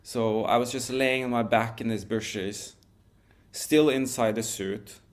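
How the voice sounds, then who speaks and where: A man, telling a story as a podcast guest in a relaxed, measured way with frequent restarts. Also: medium pitch. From Norway. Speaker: guest, wingsuit pilot.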